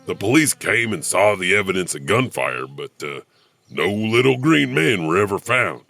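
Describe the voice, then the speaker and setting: A man's voice: deep voice, very animated, normal speed, creaky, pushed and bright, no room sound, studio quality, full character voice. A man playing a creepy, sardonic wandering janitor who narrates horror stories theatrically with chuckling asides. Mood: sly, amused. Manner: sardonic, chuckling, rhetorical questions. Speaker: host of horror anthology podcast.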